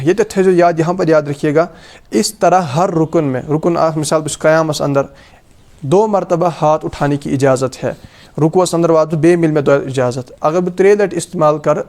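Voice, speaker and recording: A man with a Kashmiri accent and a slightly high voice presents a religious lesson. He explains rules practically and instructively, going back over each point as a teacher would. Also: darkish tone. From Kashmir, South Asia. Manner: instructive, repeats points in two languages.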